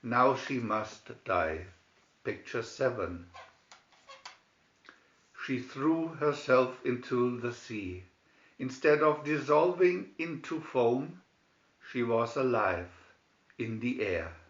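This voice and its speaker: Slow, echoey man reading a fairy tale. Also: medium pitch, animated. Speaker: storybook reader. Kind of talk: scripted fairy tale narration. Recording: echoey room, dull lo-fi sound.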